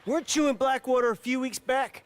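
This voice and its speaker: A man's voice: very high-pitched, fairly quick. An actor playing a suspicious, insistent townsman in a western game. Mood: accusing. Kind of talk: western video game acting.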